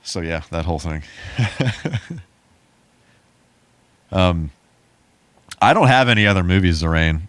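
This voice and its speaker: A man's voice: low-pitched, expressive, slow pace, long pauses, a bit bright, no echo. A man co-hosting a podcast in casual chat, with a jokey, mock-grandiose way of addressing listeners. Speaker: podcast co-host.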